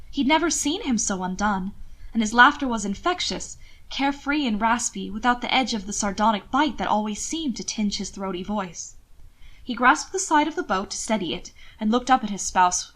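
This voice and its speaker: A woman's voice: mid-range voice, fairly bright tone. A woman reading scripted romantic fiction expressively, as an audiobook narrator.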